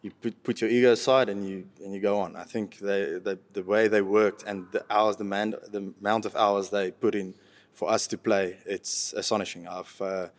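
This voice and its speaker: A man with a South African accent answers casually in a low voice with very little rise and fall. He is understated and halting, often starting a phrase again. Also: steady tone. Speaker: professional rugby player, podcast guest.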